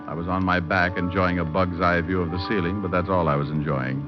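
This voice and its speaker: An actor playing a cocky, wisecracking hardboiled private detective who narrates with deadpan humor in an old-time radio drama. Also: male voice, sits low, fairly quick, lightly acted. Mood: wry narration. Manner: deadpan, flippant wordplay in narration and dialogue. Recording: muffled old radio recording with music.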